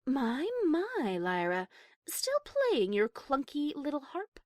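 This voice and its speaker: A woman playing a prim, high-class pony cellist with proper, formal manners in an audio story. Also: wildly swooping pitch, takes it slowly, no echo, studio quality, heavily acted. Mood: haughty. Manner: posh, proper, formal wording. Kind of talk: character acting in audio story reading.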